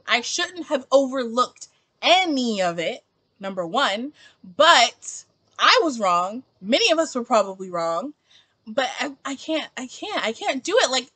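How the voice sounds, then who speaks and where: Twenty-something woman vlogging her opinions, bright voice that jumps all over, says like a lot. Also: on the high side. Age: 20s. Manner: animated, emphatic, heavy use of "like". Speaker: book vlogger. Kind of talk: casual opinion vlog.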